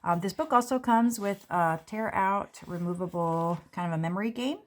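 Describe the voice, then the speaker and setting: A woman, a children's book author, reading her picture book aloud and walking through its pages in a gentle, explanatory way. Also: mid-range voice, moderate pace. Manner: gentle, explanatory, with light fillers. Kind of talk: read-aloud and book walkthrough. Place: indoors at a table.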